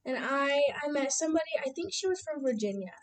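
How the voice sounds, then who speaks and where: Young american woman, slightly high voice, casual student podcast, sitting back from the mic. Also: sing-song, fast. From Utah, United States. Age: young adult. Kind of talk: casual chat. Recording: distant from the mic.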